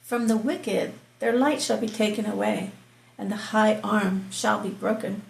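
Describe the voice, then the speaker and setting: God, male deity, boastful, casual, woman putting him on, slow delivery, echoey room. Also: mid-range voice, fairly even intonation, vocal fry.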